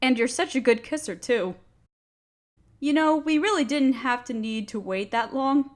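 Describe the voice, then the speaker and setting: High-voiced, nervous, smitten young woman acted by a woman. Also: expressive, normal speed. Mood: happy, affectionate. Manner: breathy gasps, sighs, hesitant stammering. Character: nervous, smitten, nerdy young woman confessing love to friend. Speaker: audio roleplay performer. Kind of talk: intimate romantic roleplay acting.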